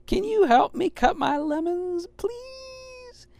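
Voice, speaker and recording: A man voicing a young, polite puppy boy who is eager and excited, in a bedtime storybook. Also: normal speed, creaky, dry recording, exaggerated voice. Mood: calling out. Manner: high, excited, childlike pleading.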